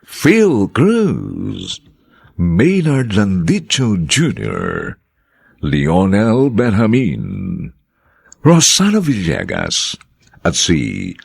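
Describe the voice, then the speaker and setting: A man's voice: lowish voice, gravelly, slightly rough, no room sound, clean studio sound. A man, the show's radio announcer, formally reading the closing credits of a drama broadcast. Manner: measured, formal.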